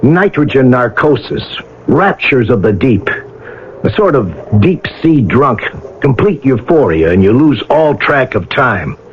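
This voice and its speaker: A breezy, practical diver with slangy talk and a voice that moves freely up and down. It is radio drama, heard through a muffled vintage recording with faint noise. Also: male voice, medium pitch, big pitch swings, slow pace. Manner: slangy, colloquial. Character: brash, wisecracking male diver, breezy and practical.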